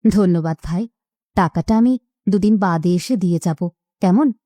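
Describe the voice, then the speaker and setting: Woman as weary village widow, plaintive, middle-aged, mellow tone, dry close sound, cartoon drama. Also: on the low side, normal speed, no room sound, lightly acted. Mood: meek, hesitant. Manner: plaintive, careworn. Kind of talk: cartoon voice acting.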